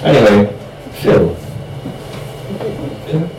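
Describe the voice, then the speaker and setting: A man's voice: mid-range voice, very animated, extremely slow, vocal fry, background noise. A man hosting a live event with casual stage banter between performances. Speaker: event host. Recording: echoey room, distant mic, loud crowd.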